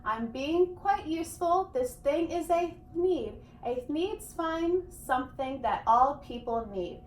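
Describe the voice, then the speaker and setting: A woman voicing a defensive, boastful male merchant from a storybook, pitching his invention. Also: animated, takes it slowly. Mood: defensive salesman. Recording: echoey room with background noise.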